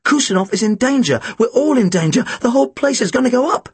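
A man's voice: high voice, animated, a little slow, breathy edge, dry recording, pitched above the performer's own voice, exaggerated voice. A man voicing an earnest fourteen-year-old British boy who is exasperated and speaks with urgent insistence. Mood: urgent, desperate.

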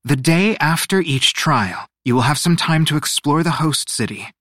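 Man voicing a composed woman in charge, higher-pitched. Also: expressive, moderate pace, sharp tone, dry recording, clean studio sound. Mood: composed, firm. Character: composed, authoritative woman leading young competitors.

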